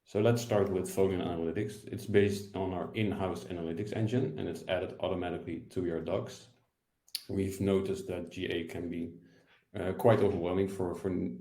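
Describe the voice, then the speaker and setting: A man's voice: sits low, mellow, echoey room. A man in his thirties giving an explanatory online presentation as an analyst, recorded over a video call. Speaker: product data analyst, presenter. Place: indoor room, on a video call.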